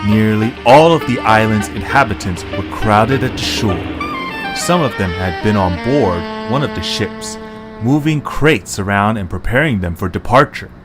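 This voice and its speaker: A man's voice: on the low side, a bit bright. A man reading scripted narration for a fantasy storybook tale.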